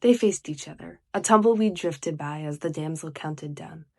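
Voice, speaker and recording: A woman's voice: low voice, normal speed, vocal fry, dark tone, no room sound, studio quality. A teenage girl from the United States with an American accent, reading a short story dramatically from a script. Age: teens. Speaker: young writer reading own story.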